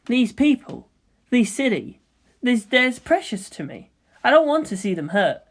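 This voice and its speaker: A woman voicing an earnest, thoughtful young male pilot in a story, calm, serious and polite. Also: on the high side, measured pace, voice pushed up. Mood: earnest, grave.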